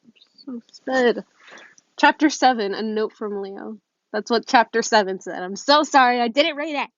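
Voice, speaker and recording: A woman's voice: on the high side, moderate pace. A woman reading fan fiction aloud in an informal, amateur way, recorded on a phone. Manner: informal, giggly when flubbing lines. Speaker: amateur podfic reader. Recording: muffled, roomy echo, phone recording.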